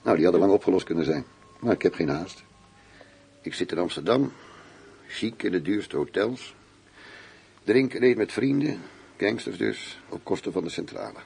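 An actor playing a worldly, self-assured German undercover police chief, teasing and intimate in a radio play. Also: male voice, sits low, expressive, moderate pace, vocal fry, pauses often, darkish tone, breathy edge, exaggerated voice. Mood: nonchalant, smug.

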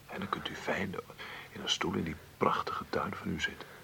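A man's voice: sits low, steady tone, normal speed, creaky, sharp tone, whispered, full character voice. An actor playing a man in his forties in a Dutch radio play, soothing and coaxing his uncle with cheerful encouragement. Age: 40s. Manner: coaxing, reassuring. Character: forty-three-year-old nephew, soothing and cheerfully encouraging.

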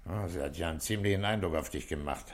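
A middle-aged police inspector, really low voice, measured n firm, short questions, 60s radio play. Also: male voice. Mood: brisk. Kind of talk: 1960s German radio play acting.

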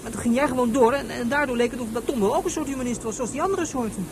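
A precocious, eager young boy, acted by a woman in a radio space drama. The voice is high and very quick, childishly insistent and stumbling over repeats. Clear noise sounds behind. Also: lightly acted. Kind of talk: science fiction radio drama acting.

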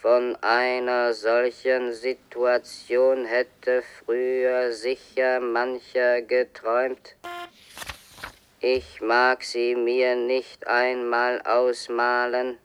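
An actor playing a sober, grave space city planner in a radio play, speaking formally and deliberately. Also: male voice, medium pitch, monotone, a little hiss or hum.

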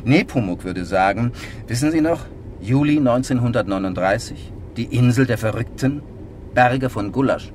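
An actor playing a brooding, self-doubting male novelist in a German radio drama, speaking in a measured, literary way. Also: medium pitch, animated, a little mellow, a little hiss or hum, lightly acted. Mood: wistful.

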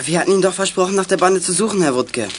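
A young man playing a plucky, protective teenage boy who leads young detectives in a children's radio play, speaking briskly and earnestly. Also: high-pitched, very noisy, natural voice. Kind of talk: 1980s German children's radio play acting.

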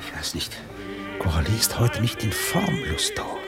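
An actor in a German radio play voicing a fretful, sardonic theatre director with a gravelly, grumbling, ironic delivery. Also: male voice, medium pitch, wildly swooping pitch, moderate pace, loud music behind the voice. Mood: worried, grumbling.

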